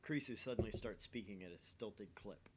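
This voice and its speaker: Low-voiced man reading a story aloud. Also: moderate pace, slight background noise. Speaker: visual novel let's player reading aloud. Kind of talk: reading narration aloud for a voice-acted playthrough.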